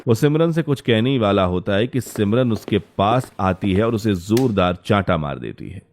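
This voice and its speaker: A man's voice: fairly low pitch, animated, normal speed, a little mellow, a little hiss or hum. A man narrating a story in a scripted, dramatic style. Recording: music behind the voice.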